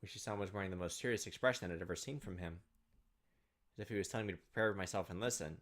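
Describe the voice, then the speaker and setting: A man's voice: deep voice, rapid-fire, a bit bright. A man reading visual novel narration aloud in a dramatic storytelling style as a gameplay host, stumbling now and then.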